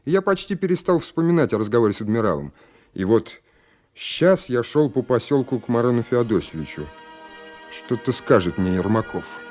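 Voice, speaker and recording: A male actor plays an ambitious young naval officer in a radio play. He is sincere and mid-pitched, both in dialogue and in telling his own story. Faint music sits underneath. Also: sing-song, moderate pace, a little mellow, lightly acted. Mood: reflective. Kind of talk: radio play acting and first-person narration.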